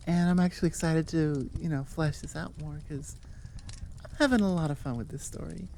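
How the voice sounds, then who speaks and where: A woman's voice: sits low, fairly quick, vocal fry, mellow, a little hiss or hum. A woman voice actor and channel host chatting casually and off the cuff to her listeners, rambling and enthusiastic. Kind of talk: casual unscripted chat to listeners.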